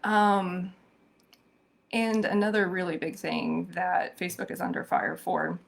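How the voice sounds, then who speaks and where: A hoarse woman hosting a live-streamed social media commentary, thoughtful and full of ums and restarts. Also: on the low side, unhurried. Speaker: social media show host.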